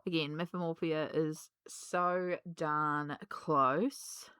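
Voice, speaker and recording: A woman, slightly hoarse from a cold, chatting casually and rambling with many ums while she colours. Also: low voice, fairly even intonation, drawn-out words, fairly bright tone, no echo, clean studio sound. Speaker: colouring vlogger.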